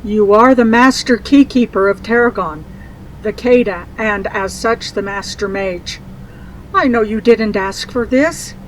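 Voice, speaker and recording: A woman playing an earnest mage mother in a fantasy audio drama, speaking in a measured, solemn way. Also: mid-range voice, a little hiss or hum, close to an everyday voice, rough recording. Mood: firm, insistent. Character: earnest instructing mage mother, gently admonishing.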